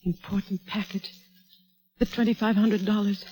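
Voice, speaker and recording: An actress playing a polite, uneasy hostess in earnest 1940s radio drama style. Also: female voice, medium pitch, flat delivery, normal speed, a little hiss or hum, close to an everyday voice. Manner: placating, earnest. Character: polite, uneasy hostess narrating a suspense tale. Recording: old radio drama, loud music behind.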